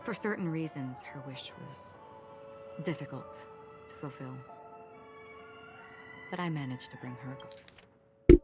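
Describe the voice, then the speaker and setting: A soft-spoken forgetful swordswoman. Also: rumbling low. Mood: wistful. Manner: quiet, slow, half-whispered. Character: calm forgetful swordswoman, young adult. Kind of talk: game voice acting. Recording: game audio with music underneath.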